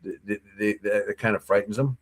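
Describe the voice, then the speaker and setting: Low-voiced talk show co-host. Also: male voice, steady tone, brisk. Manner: rambling, opinionated, many restarts. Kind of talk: casual news talk.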